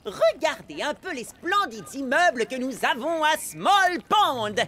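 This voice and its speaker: A woman voicing an anxious, over-eager young boy microbe in an animated cartoon, flustered and stammering. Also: high-pitched, measured pace, heavily acted. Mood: nervous eagerness. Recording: music under the voice.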